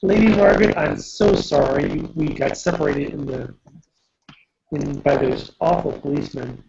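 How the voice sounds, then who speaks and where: A man's voice: fairly high pitch, normal speed, creaky, darkish tone, breathy edge, heavily acted. A man voicing a flirtatious Frenchman with a put-on French accent, gallant and courtly. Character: smooth-talking Frenchman, adult man, flirtatious. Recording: dull lo-fi mic.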